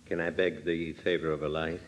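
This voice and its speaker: An actor playing a soft-spoken, menacing killer in an old-time radio drama, hushed and formally polite. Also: male voice, very deep voice, flat delivery, slight background noise. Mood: eerily polite. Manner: slow, formal, eerily polite. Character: soft-spoken menacing strangler who claims he is dead. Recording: muffled vintage radio recording.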